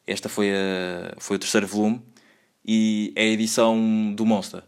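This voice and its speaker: Rambling young Lisbon-accented man, low voice. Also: flat delivery, moderate pace, clean tone. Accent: Lisbon Portuguese. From Lisbon, Portugal. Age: 20s. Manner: rambling, slangy, full of filler words. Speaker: podcast host. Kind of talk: solo casual podcast monologue.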